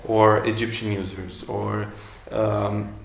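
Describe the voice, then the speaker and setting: A man's voice: fairly low pitch, little pitch movement, takes it slowly, creaky. Hesitant man in echoey room. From Romania. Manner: hesitant, many fillers and restarts. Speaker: guest entrepreneur. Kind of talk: live interview at an event. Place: event room. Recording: echoey room with faint ambience.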